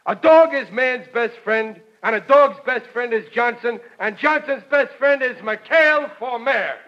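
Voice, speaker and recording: Casting: bumbling, boastful American working dad, high forceful voice, folksy delivery, old radio comedy. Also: male voice, measured pace, full character voice. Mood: blustering, confident. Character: blustering, well-meaning working-class father and war plant worker. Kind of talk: 1940s radio comedy acting. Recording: dull vintage radio recording.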